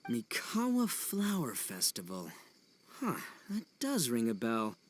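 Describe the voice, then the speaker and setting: A man's voice: medium pitch, wildly swooping pitch, takes it slowly, slight background noise. A man voicing a brash, boastful but good-hearted young oni in a video game, goofy and slangy. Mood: musing, boastful.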